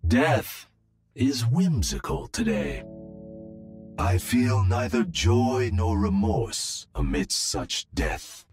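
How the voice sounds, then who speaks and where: An actor playing a serene, wise robot monk from a video game, speaking in measured, philosophical sayings. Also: male voice, medium pitch, animated, a little mellow, soft background music. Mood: solemn, instructive. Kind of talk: video game hero voice lines.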